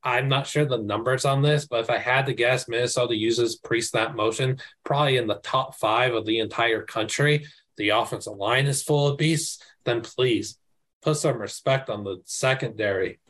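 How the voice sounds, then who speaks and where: Excitable man talking college football. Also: mid-range voice, normal speed. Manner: excited, emphatic, casual slang. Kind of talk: casual sports podcast chat.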